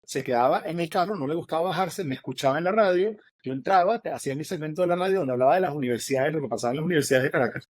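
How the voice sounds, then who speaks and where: A middle-aged man with a Venezuelan accent, an author telling his story as an interview guest, animated and acting out what others said. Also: a little high. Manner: animated, fast, acts out quoted dialogue.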